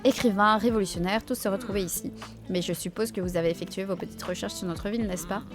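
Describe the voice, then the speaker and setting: A woman's voice: medium pitch, quick pace, music in the background, close to an everyday voice. A woman voicing a haughty middle-aged café owner who speaks in a prim, condescending, formal way.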